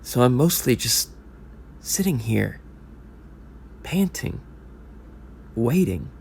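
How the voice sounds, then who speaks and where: An actor playing a hopeless, wistful lifelong sports fan in an audio drama, halting and uncertain. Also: male voice, mid-range voice, animated, gravelly, a little mellow, slight background noise. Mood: numb, uncertain. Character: lifelong hopeless Knicks fan, recovering drinker, wistful and defeated. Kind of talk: audio drama monologue and dialogue.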